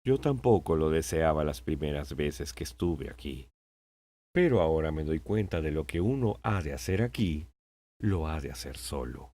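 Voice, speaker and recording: A man playing a wise, patient old king in an audiobook, speaking in a measured, sententious way. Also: rumbling low, animated, dark tone. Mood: kindly, resolute. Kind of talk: audiobook character acting.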